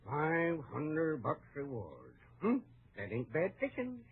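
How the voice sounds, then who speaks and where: An actor playing a grumbling, jumpy old American cowboy sidekick in a folksy old-time Western radio style. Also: male voice, fairly high pitch, wildly swooping pitch, takes it slowly, slightly rough, exaggerated voice. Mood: curious. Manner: folksy, complaining. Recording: tinny, noisy vintage radio recording.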